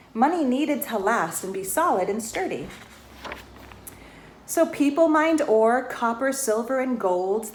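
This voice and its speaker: A woman reading a rhyming children's storybook aloud in an expressive way, pausing to put questions to the young listeners. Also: a little high. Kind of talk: children's storybook read-aloud, rhymed verse with asides to listeners. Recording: roomy echo, faint background noise.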